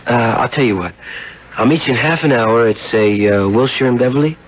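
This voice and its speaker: A man's voice: mid-range voice, a little fast, slight background noise, lightly acted. An actor playing a cocky, slangy car dealer who narrates his own radio drama story in a wry, hip tough-guy manner. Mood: uneasy. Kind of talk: 1970s radio drama acting and first-person narration. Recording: dull old radio broadcast, music behind.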